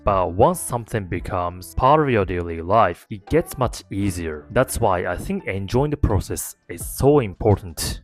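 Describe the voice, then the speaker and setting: A man with a Japanese accent, a native Japanese speaker, giving an earnest, encouraging talk to camera about learning English. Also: sits low. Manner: earnest, encouraging, plain-spoken. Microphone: talking to camera.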